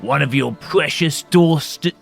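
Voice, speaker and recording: A man's voice: fairly high pitch, unhurried, creaky, faint music behind. A man playing a smug, megalomaniac supervillain in a hammy read-through, theatrical and sneering, with a British accent that slips towards Australian. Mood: gloating. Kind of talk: hobby script read-through, hammy villain acting.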